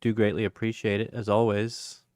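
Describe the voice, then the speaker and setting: A tired man hosting a livestream, chatting casually in a rambling, halting way with lots of fillers, his voice a bit hoarse. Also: lowish voice, monotone, darkish tone, no echo, studio quality. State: tired, yawning; voice going hoarse. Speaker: crypto livestream host. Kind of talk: casual livestream sign-off chat.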